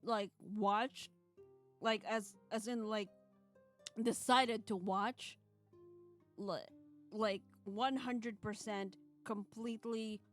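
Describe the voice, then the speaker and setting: A woman livestreaming, moving between casual chat and reading a visual novel's narration aloud in an animated way. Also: medium pitch, slow, pauses often, soft background music. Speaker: streamer.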